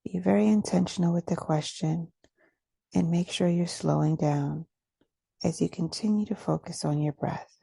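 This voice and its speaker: A woman guides a meditation in a low, husky voice with a gravelly edge. She speaks softly and deliberately, leaving long, gentle pauses. The sound is close and dry, with very little room around her. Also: no room sound. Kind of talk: guided meditation, soft and soothing.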